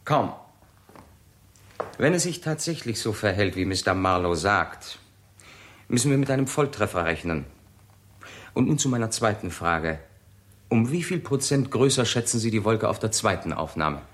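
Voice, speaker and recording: Low male voice, young methodical physicist, sober, precise, deliberate, 1960s radio drama. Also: animated, a bit bright. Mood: sober, analytical. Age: 20s.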